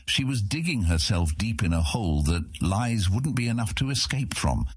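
A man's voice: on the low side, sing-song, normal speed, breathy edge, dry recording. A man reading scripted fantasy fiction as an audiobook narrator, telling the story in the third person.

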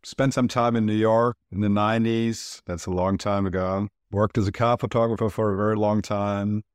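A man's voice: on the low side, fairly even intonation, normal speed, clear, resonant tone, dry recording. A man from Turkey, a studio founder, answering interview questions in a thoughtful way that explains through comparisons.

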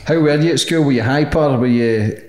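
A man's voice: medium pitch, a little fast, a little mellow. A man interviewing a guest in casual chat, blunt and swearing freely. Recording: echoey room.

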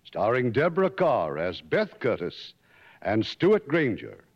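A man's voice: medium pitch, huge pitch swings, unhurried, slightly rough. A man hosting a 1950s radio show, presenting a script in a formal announcer style. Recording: muffled old radio broadcast in reverberant hall.

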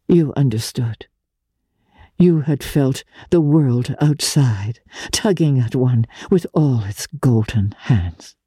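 A woman voicing an earnest, sorrowful, self-denying society lady in a hushed, fervent audiobook reading. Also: a bass voice, slightly rough, no room sound, studio quality, full character voice. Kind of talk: dramatic audiobook character reading.

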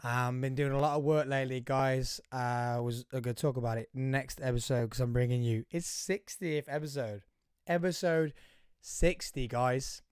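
Male host, husky and hoarse, chatty solo intro, smooth tone, dry close sound. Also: medium pitch, fairly even intonation, normal speed, darkish tone, no echo. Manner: chatty, rambling, addresses listeners as guys. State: hoarse, voice fading. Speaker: podcast host. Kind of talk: casual solo podcast intro.

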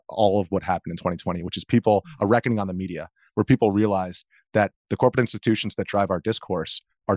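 Low-voiced, earnest American man. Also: no room sound, clean studio sound. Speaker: interview guest, video journalist. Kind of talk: conversational interview, explaining own perspective.

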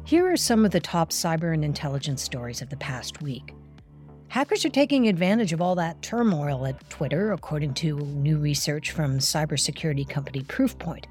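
A woman's voice: deep voice, expressive, normal speed, a little mellow, faint music behind. A woman hosting a cyber news podcast, reading scripted narration in a conversational way. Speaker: podcast host.

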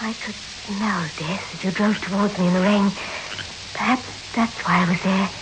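An actress playing a mysterious, otherworldly young woman hitchhiker in a 1960s radio drama, solemn, measured and cryptic. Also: medium pitch, fairly even intonation, lightly acted. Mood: grave, urging. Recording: old radio drama, loud vehicle noise.

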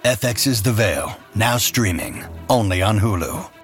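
An actor voicing a dramatic promo announcer for a streaming spy series. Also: male voice, on the low side, expressive, unhurried, a bit bright, soft background music.

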